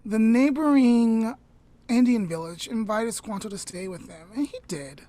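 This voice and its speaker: A woman podcast host telling a story aloud informally, in a measured way with dramatic pauses. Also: fairly high pitch, dark tone, no room sound.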